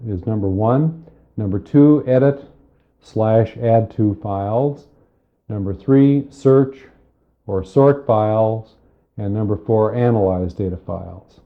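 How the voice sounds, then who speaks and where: A man giving a methodical, step-by-step spoken computer lesson, explaining with pauses, recorded on cassette tape. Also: on the low side, phone-quality sound. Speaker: software tutorial instructor.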